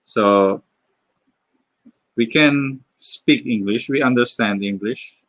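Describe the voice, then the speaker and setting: A man from the Philippines with a Filipino accent, chatting casually on a live stream while drawing, halting, with many fillers and restarts. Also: medium pitch, a bit bright, no room sound. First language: Filipino (Tagalog). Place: home.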